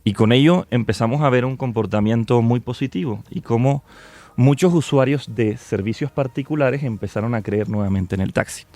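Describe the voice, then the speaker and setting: A man in his thirties, a company director, answering questions in a radio studio interview in a measured, businesslike way. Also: medium pitch, mellow. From Colombia. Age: 30s. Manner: measured, businesslike explanations with small restarts. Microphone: studio microphone.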